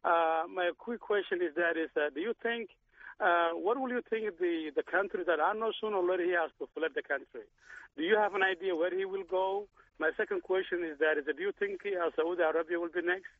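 A man's voice: high-pitched, lively intonation, fairly quick, no room sound. A man from Somalia calling in to a radio show, asking earnest questions while searching for words. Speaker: caller. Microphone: phone. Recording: tinny phone line.

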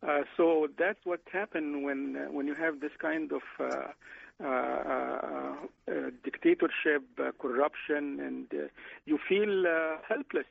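Radio guest from Egypt on a phone line, gravelly, slightly high voice, lots of uh's. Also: male voice, steady tone, dry recording. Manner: halting, many "uh" fillers and restarts. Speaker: guest economics professor.

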